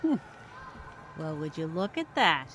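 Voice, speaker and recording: A woman plays a sarcastic, level-headed sidekick with a bright voice and lively pitch. She is wry and teasing, acting in an audio drama. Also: a little slow, slight background noise, exaggerated voice.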